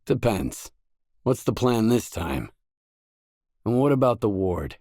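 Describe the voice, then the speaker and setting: A man's voice: on the low side, very animated, slow, dark tone, no room sound, studio quality, heavily acted. A man voicing a wary, blunt male loner in a video game, speaking in terse, matter-of-fact lines and questions. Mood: guarded, businesslike.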